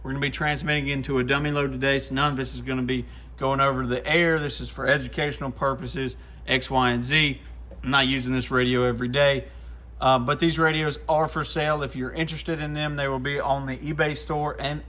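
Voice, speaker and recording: A man's voice: mid-range voice, sharp tone. A man presenting a casual, hands-on product demo, talking informally to viewers as a shop owner would. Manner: informal, addresses viewers as guys.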